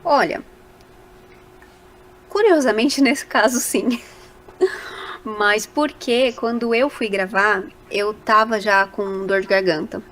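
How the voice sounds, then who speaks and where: A woman's voice: mid-range voice, fairly even intonation, slow pace, long pauses, a bit bright, slight background noise. A Brazilian woman speaking casually as a voice actor guest in a podcast interview, animatedly telling stories and acting out little quoted remarks.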